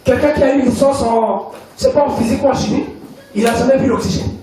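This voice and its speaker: A high-pitched man from Congo delivers an emphatic sermon, repeating his points as a congregation answers back. The sound comes from a distance, in a large echoing hall. Voices of the crowd are clearly audible behind him. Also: fast talker. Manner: emphatic preaching with repetition and call-and-response. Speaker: pastor. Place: church hall. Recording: echoey hall, mic from far off, congregation audible. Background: congregation responding amen.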